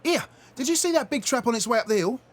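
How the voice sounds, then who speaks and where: A man's voice: extremely high pitch, lively intonation, quick pace, voice pushed up. A man playing a kindly, gossipy working-class shopkeeper with a British accent and a chatty, colloquial way of talking. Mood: friendly, gossipy.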